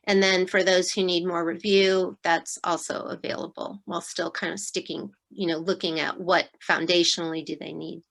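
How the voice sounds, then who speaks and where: A woman fifth grade teacher explaining her curriculum at a virtual open house, in a measured way with many fillers. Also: fairly low pitch, flat delivery, vocal fry, a bit bright. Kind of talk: school open house presentation. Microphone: video call.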